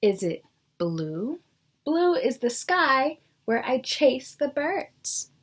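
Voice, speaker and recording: A woman's voice: sits high, big pitch swings, drawn-out words. A woman teacher reading a picture book aloud to young children, explaining things simply and asking them questions.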